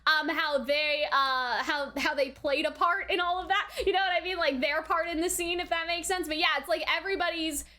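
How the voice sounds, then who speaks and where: A woman giving casual, chatty anime reaction commentary in an animated way, often adding "like" and checking in with the listener. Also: very high-pitched, fairly quick, hardly any pauses. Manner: chatty, animated, frequent "like" and "right?". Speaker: anime reviewer.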